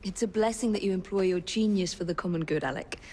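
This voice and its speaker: A woman plays a young English adventurer in a game cutscene. She sounds practical, crisp and poised, in a middle register. There is a slight ambient noise behind her. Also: mid-range voice, quick pace, a little mellow, lightly acted. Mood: cool, friendly. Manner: crisp, direct, polite. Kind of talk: video game cutscene acting.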